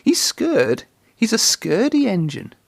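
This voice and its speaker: A man voicing a cheeky, boastful, excitable young steam engine in a children's storybook. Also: high-pitched, unhurried, vocal fry, dry recording, studio quality, voice pushed up, heavily acted. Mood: teasing. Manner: bold, excitable.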